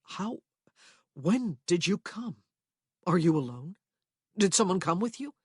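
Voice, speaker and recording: A woman voicing an earnest, lovesick young gentleman who speaks with emphasis. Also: fairly low pitch, very animated, slow, slightly rough, dry recording, clean studio sound, exaggerated voice. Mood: astonished.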